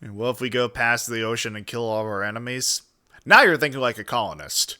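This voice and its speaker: A male streamer with a bright, forceful voice reacts casually and with strong opinions. His pitch moves around with lots of animation. He is sardonic and swears freely. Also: animated, a little fast, faint music behind. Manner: sardonic, profane. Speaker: livestream host reacting to a video.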